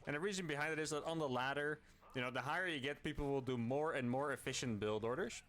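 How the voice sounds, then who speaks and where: A man's voice: mid-range voice, fast, fairly bright tone. A man in a mid-range voice talks through his strategy game match. He explains his plans as he goes, thinking aloud with frequent ums. His tone stays level and conversational. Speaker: game video commentator.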